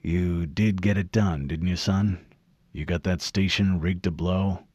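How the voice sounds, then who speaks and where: A man voicing a gruff, commanding older admiral with a folksy drawl, in an audiobook. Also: deep voice, steady tone, unhurried, a little mellow, dry recording, studio quality. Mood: serious.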